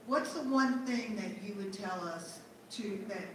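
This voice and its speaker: A woman's voice: mid-range voice, measured pace. A woman from Nashville asks her question from the audience, hesitant and searching for words. She is heard from afar in a very echoey hall. Noise sits behind her voice. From Nashville, United States. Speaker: audience member. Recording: echoey hall, far off, noisy.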